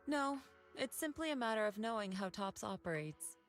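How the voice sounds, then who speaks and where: A composed, wise woman master from a video game, played by an actress, speaking assuredly in a mid-range voice over soft music. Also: medium pitch, lively intonation, normal speed, natural voice. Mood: calm, assured. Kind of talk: scripted game dialogue.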